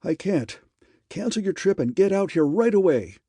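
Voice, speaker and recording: Frantic, breathless male scientist pitched high and loud, as a man puts him on in an audiobook. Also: animated, normal speed, slightly rough, dry recording, clean studio sound.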